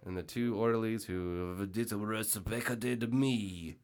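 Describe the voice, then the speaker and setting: A man's voice: on the low side, moderate pace, darkish tone. A man co-hosting a casual chat about a TV episode, joking and swearing. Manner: sarcastic, swearing, jokey. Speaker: podcast co-host. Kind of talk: casual chat watching a show.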